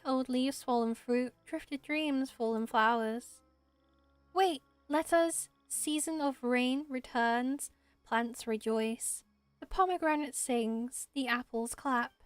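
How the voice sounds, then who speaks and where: Woman voicing a high-pitched, earnest little forest spirit. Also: dry recording. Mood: chanting, reverent. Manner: quaint inverted phrasing, speaks ceremoniously. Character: small gentle forest spirit, solemn, earnest and childlike. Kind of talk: amateur voice acting of video game dialogue.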